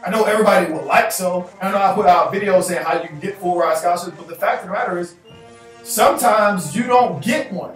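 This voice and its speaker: A man in his thirties talking straight to camera, answering viewer emails with animated gestures and repeating phrases for emphasis. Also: high-pitched, fairly quick. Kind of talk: casual advice talk to camera. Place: indoor room in front of a chalkboard backdrop. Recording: echoey room, voice a bit far off, faint music.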